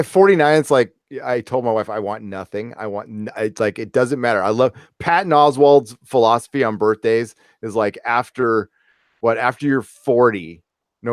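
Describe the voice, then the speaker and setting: A man's voice: mid-range voice, no room sound, studio quality. Laughing, profane man in his forties. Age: 40s. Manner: laughs often, loose and profane. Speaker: podcast host. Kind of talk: casual chat.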